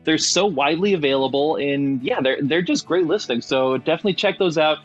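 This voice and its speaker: A man's voice: fairly high pitch, a little fast, muffled recording, soft background music. A man hosting a podcast in a casual, enthusiastic and informal conversational style. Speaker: podcast host.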